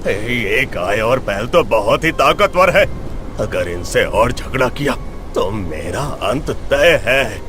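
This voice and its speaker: Man voicing a slow-talking predatory cartoon lion. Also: medium pitch, expressive. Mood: wary. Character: predatory lion, threatening yet self-preserving. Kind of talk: Hindi cartoon voice acting. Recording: prominent music bed behind.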